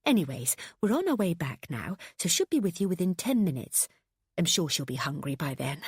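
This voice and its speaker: A woman voicing a sugary grandmother who coos with quiet menace. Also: fairly low pitch, big pitch swings, normal speed, breathy edge, no echo, studio quality, exaggerated voice. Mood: cooing. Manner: cooing, falsely sweet.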